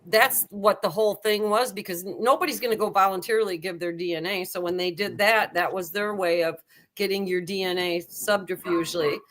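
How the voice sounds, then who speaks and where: An older woman in a casual panel chat, chatty and quick to talk over the others. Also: medium pitch, fairly even intonation, fairly bright tone.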